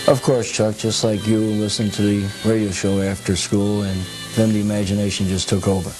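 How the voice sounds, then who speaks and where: A man's voice: on the low side, little pitch movement, normal speed, over music, rough recording. A man answering informally as an interview guest at his home.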